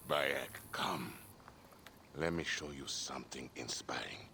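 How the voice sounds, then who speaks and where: A man's voice: extremely low pitch, slow pace, creaky, bright, forceful tone, a little hiss or hum, heavily acted. A man playing a boastful, bitter, drunken charioteer with a swaggering, taunting manner in a video game cutscene. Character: boastful, bitter, drunken male charioteer nursing old grudges.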